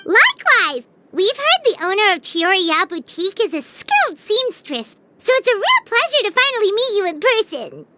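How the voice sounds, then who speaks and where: A woman voicing a tiny, childlike, eager fairy companion in a video game, squeaky and perky. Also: very animated, normal speed, very noisy. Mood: eager, cheerful.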